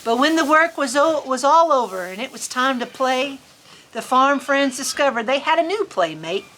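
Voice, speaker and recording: A woman reading a picture book aloud to children in an expressive, folksy, chatty storytime manner. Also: high voice, normal speed, a little hiss or hum, rough recording. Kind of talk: animated children's story reading with casual asides. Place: on a farm among sheep.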